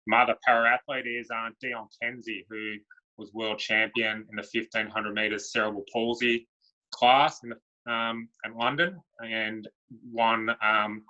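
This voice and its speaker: A man's voice: on the low side, measured pace. An Australian man, a para athletics coach, talking casually and with lots of filler words about his squad. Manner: relaxed, many um fillers. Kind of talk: casual panel Q&A. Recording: dull lo-fi sound.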